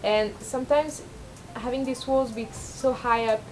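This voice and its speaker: A young woman with a low speaking voice, rambling and self-deprecating in a casual confessional vlog, full of fillers and restarts. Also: fairly even intonation, unhurried, slight background noise, low-quality recording. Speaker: vlogger.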